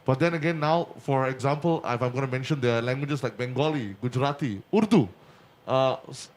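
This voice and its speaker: A man's voice: medium pitch, fairly quick. Emphatic young Singaporean man, faint noise. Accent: Singaporean English. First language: Punjabi. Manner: animated, emphatic, repeats points. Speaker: community panelist. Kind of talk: casual panel chat.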